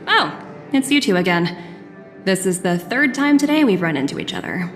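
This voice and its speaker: A poised young businesswoman played by a voice actress in a video game, cultured and courteous. She speaks in measured, articulate sentences at a medium pitch, with music clearly behind her. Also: big pitch swings, lightly acted. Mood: breezy, polite.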